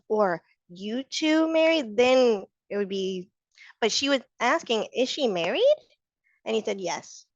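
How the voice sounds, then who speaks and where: Brief: hoarse female instructor on a video call, patient and encouraging, dry dull sound. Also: medium pitch, no echo. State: hoarse from a cold. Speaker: sign language instructor. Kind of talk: online class teaching.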